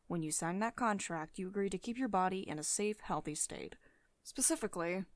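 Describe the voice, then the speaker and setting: A woman's voice: medium pitch, no room sound, close to an everyday voice. Woman acting a poised, dominant female CEO, close and dry. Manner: measured, authoritative, condescendingly affectionate. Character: poised, teasing, mildly dominant female CEO of tech firm. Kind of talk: ASMR roleplay, intimate one-sided dialogue. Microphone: close studio-style microphone, dry voice-over.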